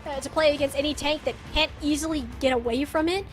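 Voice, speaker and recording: A woman's voice: sits high, steady tone, quick pace. A woman giving live esports commentary and casual game analysis, opinionated and colloquial in how she talks.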